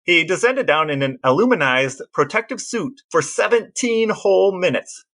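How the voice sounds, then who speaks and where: Male podcast co-host, voice a little on the high side. Conversational, precise explainer with a playful streak. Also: animated, moderate pace, a bit bright.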